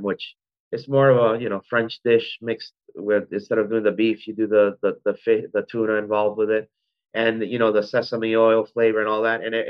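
A man in his forties from Venezuela, a restaurant founder telling his story in an interview, rambling with many ums and self-corrections. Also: lowish voice. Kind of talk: conversational interview. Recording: dull lo-fi sound.

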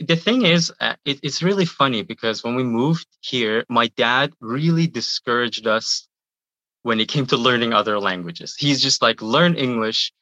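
Bright-voiced Iranian-born man, chatty. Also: medium pitch, moderate pace, dry recording, lo-fi sound. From Iran. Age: 30s. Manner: chatty, repeats words and says "uh" a lot. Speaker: guest, YouTuber. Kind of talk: casual interview answers.

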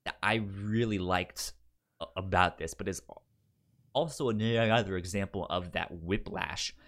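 A man co-hosting a casual film chat, speaking informally and haltingly with a stammer that repeats sounds and syllables. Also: sits low, a bit bright. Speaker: film podcast co-host.

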